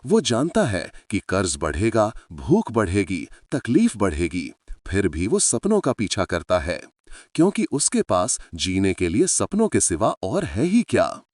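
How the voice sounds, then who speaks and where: A man's voice: on the low side, a little mellow, dry recording, studio quality. A man narrating a story in a dramatic scripted style, speaking to his listeners as friends.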